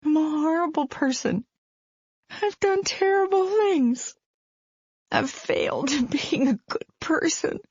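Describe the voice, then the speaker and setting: A woman's voice: high-pitched, expressive, takes it slowly, darkish tone, no room sound, lo-fi sound, voice pushed up. Woman reading as a distraught young mother. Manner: pleading, breaking voice. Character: distraught young mother, tearful and self-blaming.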